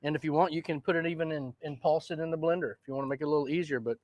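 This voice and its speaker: A man's voice: on the high side, fairly even intonation, rapid-fire, a little mellow, dry recording. A man, explaining recipes in an easygoing cooking chat. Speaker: cooking show host. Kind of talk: casual cooking demonstration.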